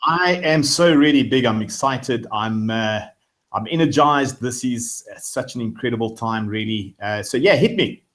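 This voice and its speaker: A man's voice: medium pitch, unhurried. Upbeat man. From South Africa. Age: middle-aged. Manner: upbeat, energetic, rambling with many fillers. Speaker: guest, company founder. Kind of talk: webinar interview.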